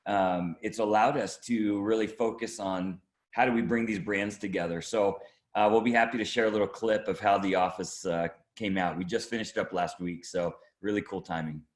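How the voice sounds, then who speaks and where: Low-voiced man in an echoey room. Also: steady tone. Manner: halting, hedging, conversational explanation. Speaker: marketing director being interviewed. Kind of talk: product preview interview.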